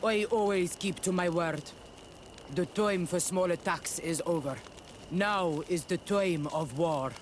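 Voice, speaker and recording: A man's voice: sits high, slow, slight background noise. A man playing a proud, stern rebel warrior leader in a video game, speaking bluntly and solemnly. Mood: resolute. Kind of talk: scripted video game cutscene dialogue.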